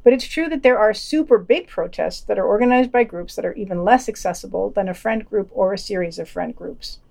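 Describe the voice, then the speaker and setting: A woman's voice: medium pitch, a little fast, vocal fry, a little mellow. A woman hosting a podcast, reading a scripted news digest with quotes and commentary in a dry, wry manner.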